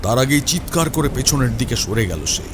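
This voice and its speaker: A man's voice: mid-range voice, expressive, a little fast, over music. A man narrating a scripted horror story in a dramatic style. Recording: rain and ambient effects behind.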